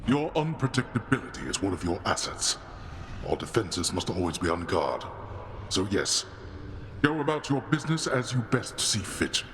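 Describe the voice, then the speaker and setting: An actor playing a stern, imperious middle-aged god of the underworld in a video game, formal and commanding, over music. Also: male voice, fairly low pitch, very animated, normal speed, fairly bright tone, slight background noise. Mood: gruff, formal.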